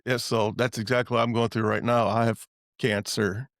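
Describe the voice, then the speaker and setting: A man's voice: mid-range voice, little pitch movement, moderate pace, no room sound, studio quality. A man hosting a podcast, chatting in a plain-spoken, informal conversational way. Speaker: podcast host, veteran. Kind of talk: casual conversational interview.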